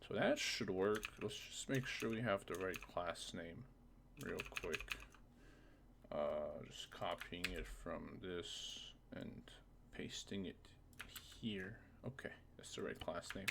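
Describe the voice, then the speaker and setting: Low gravelly man giving casual tutorial. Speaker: game modding tutorial presenter. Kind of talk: casual screen-recorded tutorial, thinking aloud. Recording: faint noise, keyboard clicks.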